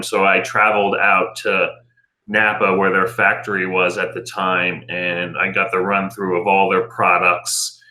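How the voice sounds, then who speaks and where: A man's voice: fairly low pitch, pushed and bright, rough recording. A middle-aged American man giving conversational answers as an interview guest and business owner.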